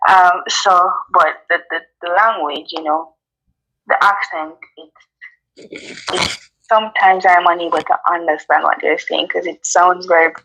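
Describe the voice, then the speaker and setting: A woman's voice: fairly low pitch, fairly even intonation, measured pace, vocal fry, sharp tone, rough recording. A woman from Jamaica with a Jamaican accent, a guest chatting casually in an interview, talking easily with many ums and you-knows.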